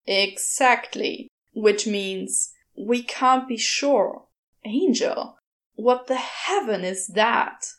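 A woman's voice: on the high side, lively intonation, drawn-out words. A woman voicing a brusque, moody male demon in a storybook reading, terse and grumbling. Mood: exasperated, sardonic.

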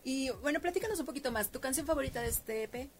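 A Mexican woman hosting a live interview show, chatty and quick with short interjections. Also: sits high, animated, faint music behind. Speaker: radio show host.

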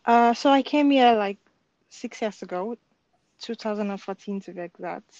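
A woman's voice: medium pitch, moderate pace, a little mellow, no room sound, dull audio. A young woman from Nigeria, a podcast guest chatting casually and friendly, often laughing, with filler words. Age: young adult. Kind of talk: casual chat.

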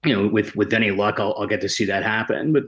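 Informal, lower-voiced man chatting. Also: quick pace, a bit bright. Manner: informal, slangy, self-deprecating humour. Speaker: guest screenwriter. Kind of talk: casual chat.

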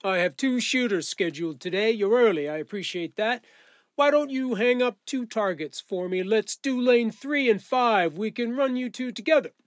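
An actor playing a firm but encouraging firearms range instructor in an audio drama, giving clipped commands with genial authority. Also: male voice, sits high, animated, moderate pace, no echo. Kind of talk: naturalistic audio drama acting.

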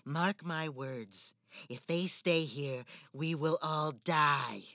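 A woman's voice: deep voice, slow pace, pushed and bright, no echo, clean studio sound, heavily acted. A woman playing a cold, manipulative stepmother in a spoken fairy-tale drama. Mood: reproachful. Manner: manipulative, icy, theatrical.

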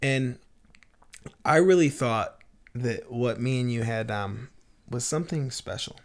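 A man's voice: mid-range voice, unhurried, gravelly. A man co-hosting a casual podcast, a fitness trainer who talks loosely with lots of fillers and swearing. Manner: casual, profane, filler-heavy. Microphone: studio mic at the table.

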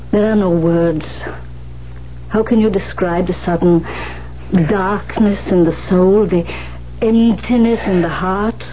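An actress in a 1970s radio drama playing a weary, wry older pianist who survived the camps, speaking in solemn, deliberate phrases. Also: female voice, mid-range voice, lightly acted. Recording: muffled, noisy 1970s radio recording.

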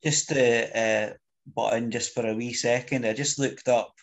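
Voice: mid-range voice, fairly even intonation, measured pace. Person on a call, curious, reading out a lookup. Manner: curious, reads out a fact he looked up. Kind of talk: casual chat on a video call.

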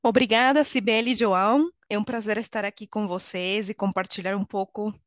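A woman's voice: mid-range voice, fairly even intonation, fairly quick, no room sound. A middle-aged woman with a Venezuelan Spanish accent, explaining as a podcast guest. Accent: Venezuelan Spanish accent in Portuguese. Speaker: guest, HR consultant and coach. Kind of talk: explanatory podcast interview talk.

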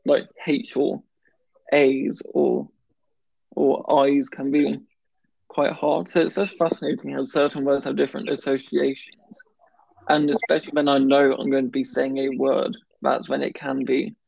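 A man's voice: medium pitch, flat delivery, measured pace, vocal fry, a little mellow, husky, no room sound, dull audio. A man in casual conversation who stammers, blocking on some words and often restarting. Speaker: guest talking about his stammer. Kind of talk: casual chat.

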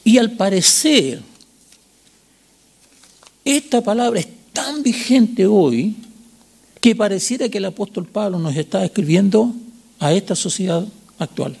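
A man in his sixties with a Chilean accent preaching a sermon, teaching didactically with emphatic repetition and short check questions, in a gravelly, husky voice. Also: sits high, lively intonation, a little slow, long pauses, darkish tone. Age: 60s. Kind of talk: sermon with Bible reading and commentary.

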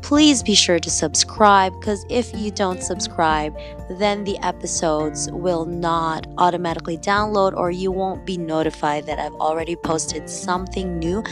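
A woman's voice: fairly low pitch, a little slow, over music. A young woman from the Philippines with a slight Filipino accent, hosting her own podcast in a chatty, upbeat way. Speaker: podcast host. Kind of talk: casual solo podcast chat.

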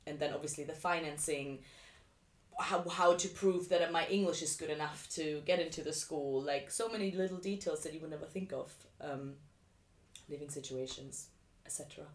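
Woman, low voice, telling her story casually, roomy echo. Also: normal speed. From Vienna, Austria. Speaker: interview guest, dancer. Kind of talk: casual chat, telling personal experiences. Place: living room. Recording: echoey room.

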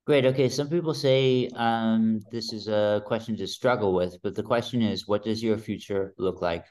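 A man's voice: lowish voice, steady tone, moderate pace, darkish tone. A man interviewing a guest, moving through numbered questions with short, upbeat prompts.

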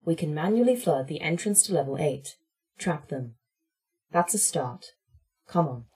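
Wry female AI, low, mellow, husky, measured, resigned, sci-fi audio drama. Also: animated, faint music behind, exaggerated voice. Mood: wry, composed. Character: wry, composed female AI in a creature's body.

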